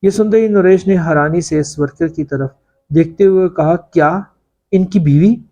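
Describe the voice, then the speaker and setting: A man's voice: a little high, moderate pace, mellow, highs cut off. A man reading a serial story aloud in a scripted, dramatic narration style. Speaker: audiobook narrator.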